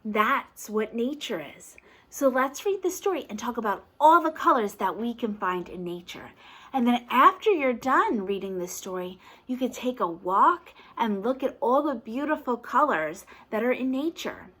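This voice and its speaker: A woman's voice: on the high side, lively intonation, moderate pace, a bit bright, husky. A woman teacher reading a picture book aloud to toddlers, asking encouraging questions in a sing-song, exaggerated way.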